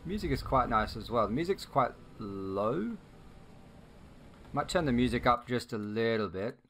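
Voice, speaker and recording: A man's voice: mid-range voice, expressive, a little slow, fairly bright tone, a little hiss or hum. A man hosting a let's play, giving informal commentary and thinking aloud as he reacts to the game. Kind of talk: casual gaming commentary.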